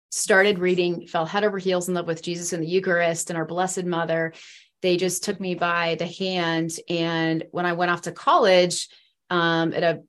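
Earnest rambling Midwestern woman, lowish voice. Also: fairly bright tone. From East Central Illinois. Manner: earnest, rambling, heavy use of fillers. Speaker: guest. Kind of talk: faith testimony in a podcast interview.

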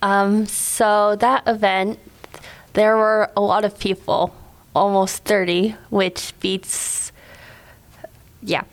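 A woman's voice: medium pitch, little pitch movement, takes it slowly, pauses often. A young woman in her teens, reading statements and discussing as a podcast panelist. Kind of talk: scripted reading and hesitant discussion.

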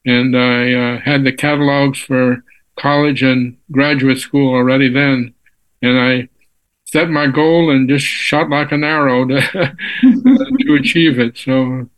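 A ninety-year-old man from Texas answers questions as an interview guest. He talks in an unhurried, halting way, full of pauses and restarts. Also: mid-range voice, steady tone, rough recording. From Texas, USA. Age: 90s. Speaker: physicist guest. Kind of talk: casual interview.

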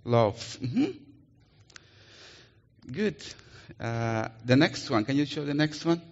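Slow-talking Colombian man preaching in a hall. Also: mid-range voice, animated. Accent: Colombian Spanish. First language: Spanish. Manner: playful, coaxes answers from the congregation. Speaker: missionary preacher. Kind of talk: interactive sermon. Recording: muffled sound in a reverberant hall.

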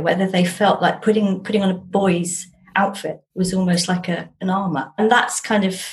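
A middle-aged woman with an English accent, talking casually and enthusiastically as an interview guest, with emphatic turns of phrase. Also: fairly low pitch. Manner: chatty and enthusiastic, piling on emphatic words. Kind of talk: casual, jolly conversation.

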